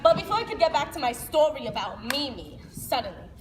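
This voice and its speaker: A woman acting an excitable, streetwise young city girl in live theater, with animated, slangy, dramatic delivery. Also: sits high, a little fast. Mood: excited storytelling. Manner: animated, slangy, breathless dramatic build-ups. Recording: noisy echoey hall, distant mic.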